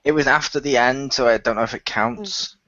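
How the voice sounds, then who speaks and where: A man's voice: mid-range voice, brisk, pushed and bright. A man, a cast member, chatting casually and adding dry joking asides. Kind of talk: casual podcast chat. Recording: dull lo-fi dry sound.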